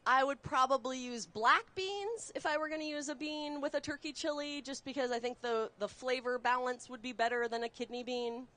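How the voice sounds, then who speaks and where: Woman chef from texas chatting thru a cooking demo, fairly high voice, laughs a lot. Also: moderate pace, few pauses, bright, forceful tone. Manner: chatty, explains while cooking, laughs easily. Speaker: guest chef. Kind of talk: live cooking demonstration. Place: studio kitchen.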